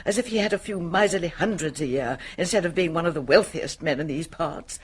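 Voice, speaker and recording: An actress playing an aggrieved, snobbish English lady in a radio drama, husky, plaintive and full of self-justification. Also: fairly low pitch, a little fast.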